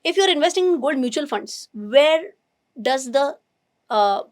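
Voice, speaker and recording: Woman, Indian English accent, hoarse voice, fairly high pitch, animated finance teacher, emphatic. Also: measured pace. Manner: animated, emphatic, thinks aloud with restarts. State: hoarse, nearly lost voice. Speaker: finance educator. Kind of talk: explaining investing to camera.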